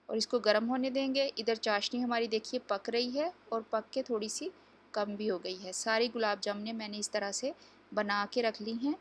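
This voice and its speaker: A woman hosting a home cooking tutorial, explaining each step to viewers in a chatty, instructive way. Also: on the high side. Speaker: cooking channel host. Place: home kitchen.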